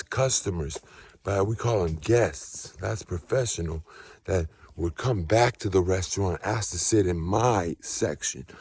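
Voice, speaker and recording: Husky, low-voiced American man preaching casually. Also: a little mellow. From United States. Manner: emphatic, preachy, repeats phrases for weight. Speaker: spiritual vlogger. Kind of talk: casual motivational testimony monologue.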